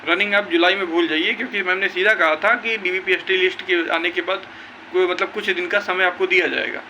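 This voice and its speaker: A man's voice: sits high, fairly even intonation, fairly quick, pushed and bright, slight background noise. A young man gives an informal update to viewers in an emphatic, insistent way, often repeating his points. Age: young adult. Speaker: exam-news video creator.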